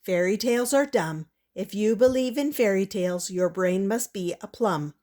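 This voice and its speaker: A woman's voice: medium pitch, normal speed, dark tone, natural voice. A woman voicing an outspoken, scornful schoolgirl in a storybook, challenging and declarative. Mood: defiant, declaring. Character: outspoken, scornful schoolgirl who scoffs at fairy tales.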